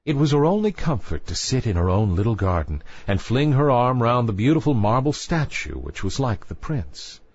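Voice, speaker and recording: A man with a fairly low voice narrates a children's fairy tale as a storyteller. His pitch rises and falls in a very animated way. The recording is very dry and slightly muffled, with a lo-fi dullness. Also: normal speed, hardly any pauses, dry recording.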